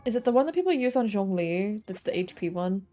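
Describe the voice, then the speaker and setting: A woman's voice: mid-range voice, big pitch swings, fairly quick, dark tone. A woman chatting casually and reacting aloud as she streams a game. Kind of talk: casual live gameplay commentary. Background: game music.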